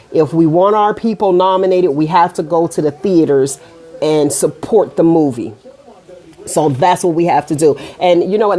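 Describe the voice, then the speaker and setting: A middle-aged woman with an American accent hosts a casual livestream show. Her voice sits slightly low. She talks straight to her viewers in animated, colloquial language, often stepping aside from her point and returning to it. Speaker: online radio show host.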